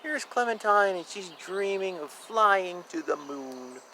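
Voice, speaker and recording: Male storybook reader, bright voice, lively swings in pitch, informal asides, recorded outdoors with faint background noise. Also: takes it slowly. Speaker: reader of a children's picture book. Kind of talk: read-aloud storytelling with casual asides about the pictures.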